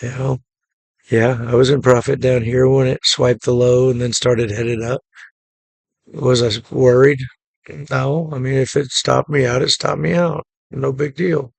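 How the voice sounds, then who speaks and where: A man with a hoarse, gravelly voice from a cough, recapping a day trade in a relaxed, matter-of-fact way and repeating his points. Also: medium pitch, a little slow, dry recording. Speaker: day trading channel host. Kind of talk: casual screen-share trade recap.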